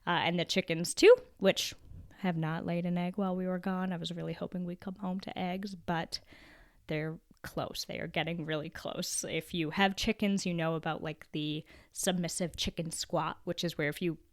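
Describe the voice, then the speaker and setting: A young adult woman with a cold, her voice raspy and deeper than usual, chatting casually on her solo podcast with fillers and restarts. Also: steady tone, normal speed, no room sound, clean studio sound. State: hoarse from a cold. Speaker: podcast host.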